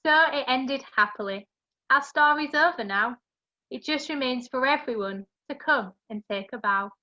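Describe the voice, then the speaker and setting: A woman's voice: high-pitched, moderate pace, sharp tone. A woman giving scripted rhyming narration for an amateur pantomime over a video call. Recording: dull lo-fi video call audio.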